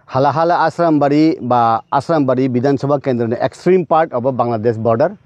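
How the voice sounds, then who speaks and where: Slow, halting man on dull lo-fi audio. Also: mid-range voice, darkish tone, no room sound. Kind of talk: spoken commentary on local affairs.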